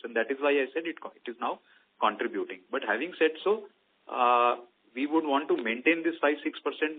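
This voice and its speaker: Tinny phone audio, Indian man, company executive, halting explanatory answers, medium pitch. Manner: hesitant, halting, with frequent "you know" and "let's say". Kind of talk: earnings call answers, explanatory. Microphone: telephone conference call. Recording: tinny narrow phone line.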